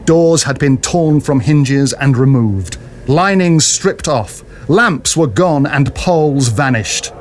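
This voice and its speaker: A man's voice: mid-range voice, animated, slow pace, slight background noise. A man narrating a story dramatically from a script, as in an audio drama.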